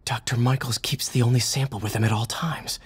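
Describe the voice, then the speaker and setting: A man's voice: mid-range voice, steady tone, a little fast, breathy edge. An actor playing a quippy, earnest young male superhero who talks to himself in wry asides.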